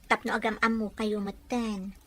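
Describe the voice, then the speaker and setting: A woman's voice: medium pitch, flat delivery, normal speed, lightly acted. A woman playing a sweet young woman in love in an audio drama, affectionate and reassuring. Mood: agreeable. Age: young adult. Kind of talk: scripted audio drama dialogue.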